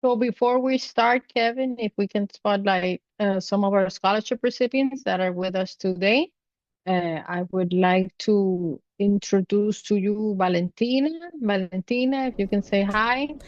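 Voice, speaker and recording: A woman's voice: medium pitch, unhurried, a little mellow, dry recording, dull audio. A woman hosting an online panel over a video call, introducing each speaker in turn. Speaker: panel host.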